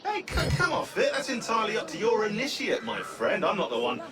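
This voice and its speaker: Wry, animated hacker guy. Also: sits high, brisk, reverberant, distant mic, over music. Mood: teasing. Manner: sarcastic, bantering, theatrical. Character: wry male hacker technician, cocky and sarcastic. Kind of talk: video game voice acting.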